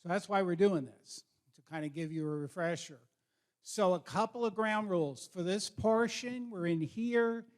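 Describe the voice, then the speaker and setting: Higher-pitched man presenting with direct instructions. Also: normal speed. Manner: direct, instructive, questions the audience. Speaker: school counselor presenting. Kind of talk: informal presentation to students and parents. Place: school meeting with an audience.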